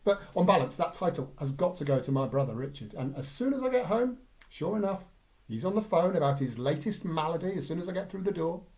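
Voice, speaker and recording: A man's voice: on the high side, expressive, fairly quick, darkish tone, low-quality recording. A man with an English accent reading a light-hearted first-person story as narrator. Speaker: story reader.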